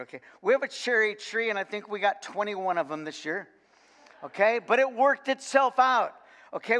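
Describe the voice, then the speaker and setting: Older man, pastor, high voice, folksy rambling sermon, big church with long echo. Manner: folksy, animated, many repeated words and "okay?" asides. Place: large church sanctuary, indoors. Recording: long reverb of a church hall.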